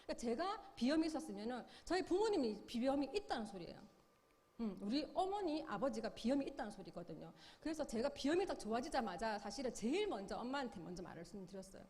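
A woman's voice: a little high, big pitch swings, fast talker, a little mellow, big-room sound. A middle-aged woman giving a sales seminar lecture, upbeat, explaining with questions and acted-out quotes. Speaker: business seminar speaker.

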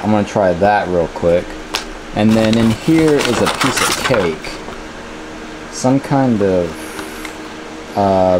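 A man in his twenties chatting casually to a camera in a laid-back, colloquial way. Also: lowish voice. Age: 20s. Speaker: vlogger. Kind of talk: casual vlog chat. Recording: noisy handheld camera audio with ambient hum.

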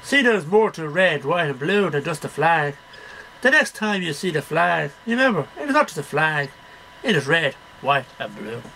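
High, bright-voiced man reciting poetry. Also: very animated, moderate pace, slight background noise, poor audio. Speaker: amateur poetry reader. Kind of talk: reading poems aloud to camera. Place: small cluttered bedroom. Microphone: webcam at about arm's length.

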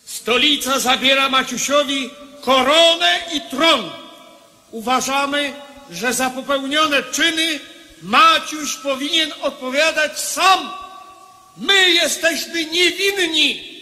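A man's voice: very high voice, pushed and bright, exaggerated voice. An actor playing a self-righteous city councilman declaiming a proclamation in a radio play. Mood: solemn, self-righteous. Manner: slow, declamatory reading. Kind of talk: Polish radio play acting. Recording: reverberant hall sound.

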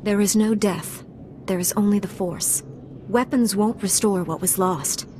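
A young female knight, played by an actress, calm and dutiful at a medium pitch. She speaks earnestly and steadily in game-cutscene style, with faint noise behind. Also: unhurried, lightly acted. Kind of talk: video game voice acting.

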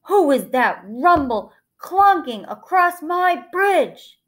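A woman voicing a big, mean, hungry male troll in a storybook, booming and growling with drawn-out, demanding words. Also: big pitch swings, exaggerated voice. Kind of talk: children's storybook character voice.

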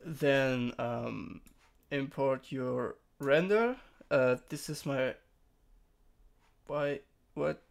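A young man who is a bit sick, walking through a software tutorial in a rambling, casual way with many fillers and restarts. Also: mid-range voice, very slow, creaky, long pauses. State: sick, throat-clearing voice. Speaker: tutorial streamer. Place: home room.

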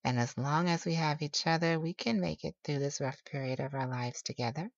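A woman playing a tender, teasing boyfriend who soothes a sleepless lover in an intimate, slow ASMR roleplay. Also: deep voice, fairly even intonation. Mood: soothing, reassuring. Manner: soothing, affectionate, playful. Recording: roomy, slightly dull home recording.

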